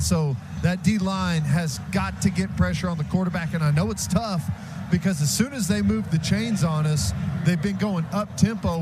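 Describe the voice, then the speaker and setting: An American man giving conversational, explanatory color commentary on a live football broadcast. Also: high voice. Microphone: broadcast booth microphone. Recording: loud stadium noise behind the voice.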